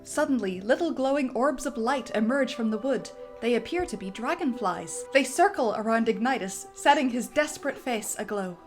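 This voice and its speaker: A woman's voice: on the high side, animated, moderate pace, few pauses, faint music behind. A woman narrating a film script theatrically, with a comedic dramatic flair. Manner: theatrical, breaks easily into giggles.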